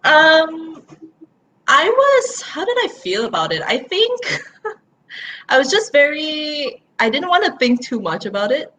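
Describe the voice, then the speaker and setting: A woman's voice: high voice, very animated, takes it slowly, bright, forceful tone, a little hiss or hum. A young woman from Malaysia, a university student, answering casually with many likes and fillers. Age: young adult.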